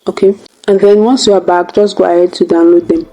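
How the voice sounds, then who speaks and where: A woman's voice: lowish voice, fairly even intonation, fairly quick, warm tone, faint music behind, poor audio. A woman hosting a tutorial, narrating step by step in a casual way. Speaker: tutorial host.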